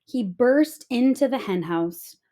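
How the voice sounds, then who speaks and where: A woman reading a children's storybook aloud with expressive narration. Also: a little high, takes it slowly.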